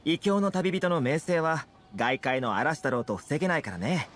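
A man's voice: fairly high pitch, animated, moderate pace, a bit bright. An actor voicing a friendly, easygoing young male trader and fixer in an anime game, with a casual, affable manner. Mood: friendly, playful. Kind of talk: anime video game voice acting.

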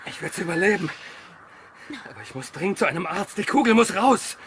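A man playing a wounded, defiant, gruff and wry man on the run in a German horror radio drama. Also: high-pitched, expressive, fairly quick, slight background noise. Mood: strained, terse. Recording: loud music under the dialogue.

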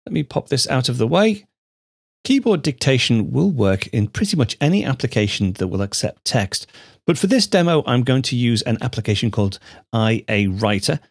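A man hosting a computer tutorial, explaining steps in a measured, steady way on a studio microphone. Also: medium pitch, no room sound. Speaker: tutorial host. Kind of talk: screencast software tutorial narration.

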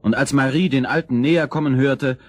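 A man narrating a scripted children's fairy tale as storyteller, in a measured, expressive way. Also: medium pitch, no room sound.

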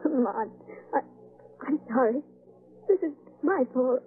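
A young captive heroine in a 1930s radio melodrama. She is high-strung, pleading and defiant, her pitch swinging widely. The old recording is thin and muffled. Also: animated, a little slow, a little hiss or hum. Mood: despairing. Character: distressed, defiant young woman held captive.

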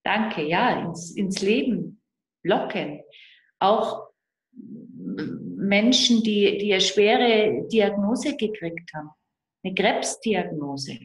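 A woman's voice: medium pitch, sing-song, very slow. An older woman, talking personally as a guest counsellor on a video call. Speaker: guest, end-of-life and grief counsellor. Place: small room indoors. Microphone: computer mic on a video call. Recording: roomy video call sound.

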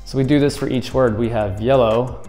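A man's voice: medium pitch, fairly even intonation, moderate pace, dark tone, soft background music. Upbeat man explaining a puzzle how-to. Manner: upbeat, explains step by step while handling props. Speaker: escape room tutorial host. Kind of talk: friendly how-to demonstration. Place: indoors at a table against a plaster wall.

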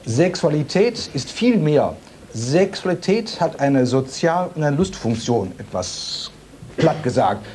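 Male panel expert, calm and wry, slightly high voice; studio debate tape. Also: slow, slight background noise. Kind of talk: heated TV debate.

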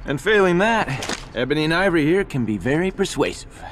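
Cocky, lively demon hunter. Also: male voice, a little high, brisk. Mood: cocky, teasing. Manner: flippant, casual, sardonic. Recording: music behind the voice.

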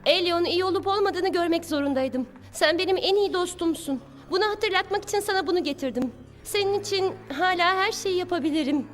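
Earnest, very high-voiced teen heroine. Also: female voice, fairly quick. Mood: pleading. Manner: expressive, emotional. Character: earnest, impulsive teenage girl heroine. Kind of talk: dubbed cartoon acting. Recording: music behind the voice.

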